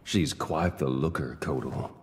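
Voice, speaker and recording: A sly gunslinger from a fighting game. His voice is extremely low and slow, teasing and laconic, with long reverb. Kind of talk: fighting game character banter. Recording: long reverb, very loud noise.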